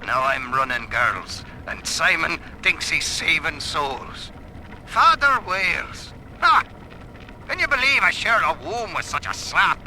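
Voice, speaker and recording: An actor playing a cynical, irreverent brothel keeper, mocking and theatrical, in a game audio diary. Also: male voice, a little high, big pitch swings, takes it slowly, sharp tone, full character voice. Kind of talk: dramatic audio-diary monologue. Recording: faintly noisy vintage audio log.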